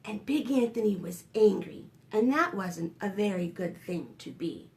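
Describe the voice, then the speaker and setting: A woman reading a picture book aloud to young children as a librarian, expressive and gently addressing her listeners with dramatic gasps and whispered asides. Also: mid-range voice, measured pace, creaky.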